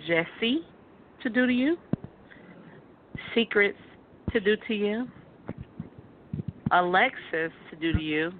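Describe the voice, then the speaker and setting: A host teasing and playfully leading a casual group chat on an adult late-night show. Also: mid-range voice. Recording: tinny phone line with some noise.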